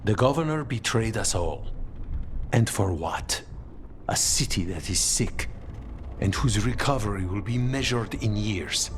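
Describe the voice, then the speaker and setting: An actor playing a stern, vengeful member of a city crime guild in a video game, speaking in clipped, grave statements. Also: male voice, on the low side, lively intonation, measured pace, a little hiss or hum. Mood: bitter, grave. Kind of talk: video game cutscene acting. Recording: music behind the voice.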